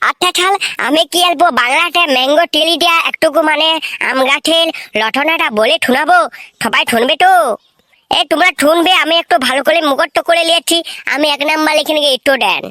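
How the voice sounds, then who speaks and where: A woman's voice: high voice, brisk, bright, forceful tone, dry recording, clean studio sound. A woman voicing a cheeky, eager village schoolchild in a comic cartoon skit, with a showy, muddled way of reciting.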